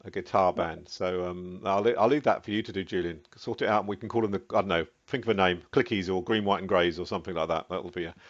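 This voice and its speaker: Low-pitched host, chatty, lifts his voice on questions, muffled sound. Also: fast talker, few pauses. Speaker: interviewer. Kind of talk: casual interview. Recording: muffled lo-fi recording.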